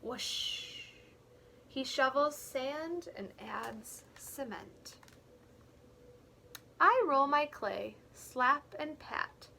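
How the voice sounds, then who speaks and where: A woman teacher reading a picture book aloud to young children, expressively and deliberately, pausing to explain things in simple words. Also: high voice, animated, gravelly, bright, forceful tone, slight background noise. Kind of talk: read-aloud storytelling with explanatory asides.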